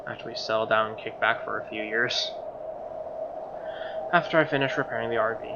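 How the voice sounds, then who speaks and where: Muffled man reading over loud music. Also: mid-range voice. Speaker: let's player reading a visual novel aloud. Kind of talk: narrative read-aloud with casual reactions. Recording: dull lo-fi mic under loud music. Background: loud game music.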